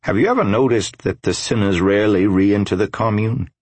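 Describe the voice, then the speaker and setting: A male narrator plays an older man cast out of his faith, in an audiobook drama. The voice is very deep and husky, grave and deliberate, with a measured solemnity. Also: a little mellow, no room sound. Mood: grave, probing.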